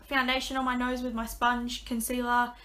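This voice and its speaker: Chatty young woman with a bright voice. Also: a little high, little pitch movement, moderate pace. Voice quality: slightly raspy. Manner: chatty, many ums and restarts. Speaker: vlogger. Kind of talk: casual vlog update. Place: small bedroom, indoors. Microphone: webcam at desk.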